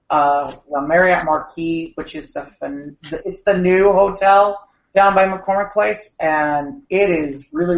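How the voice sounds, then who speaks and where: A man co-hosting a podcast, a bit hoarse after a long weekend of talking, rambling and restarting his sentences. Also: on the high side, fairly bright tone. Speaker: podcast co-host. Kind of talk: casual podcast chat. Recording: echoey room, dull lo-fi sound.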